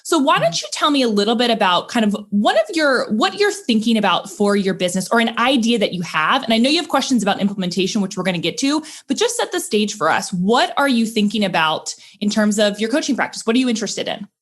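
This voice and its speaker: A woman hosting a career coaching podcast, upbeat and enthusiastic, talking in quick bursts. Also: a little high, sing-song, a bit bright.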